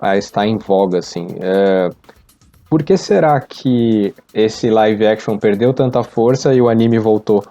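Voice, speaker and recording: A man's voice: fairly low pitch, measured pace, mellow, muffled recording, faint music behind. A Brazilian man chatting casually about pop culture as a participant on a podcast.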